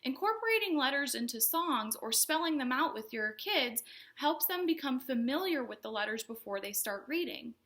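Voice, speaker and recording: A woman hosting a storytime for young children, speaking in simple explanatory phrases with an animated, sing-song delivery. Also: high-pitched, moderate pace. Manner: animated, exaggerated questions and exclamations for young children. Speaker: children's librarian and storytime host.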